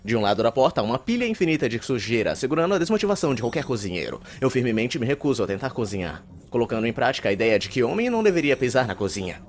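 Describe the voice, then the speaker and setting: A man's voice: medium pitch, sing-song, very fast, few pauses, natural voice. A man with a Brazilian accent playing a verbose, self-important, reclusive young university student narrating an anime monologue. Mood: matter-of-fact, wry. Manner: pompous, wordy, formal.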